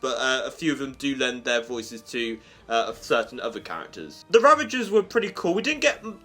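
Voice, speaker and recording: A man's voice: mid-range voice, sharp tone. A man giving a casual, chatty and enthusiastic review to his online viewers. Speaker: YouTube reviewer and host. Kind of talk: casual review of an audio drama, spoken to viewers. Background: music under the voice.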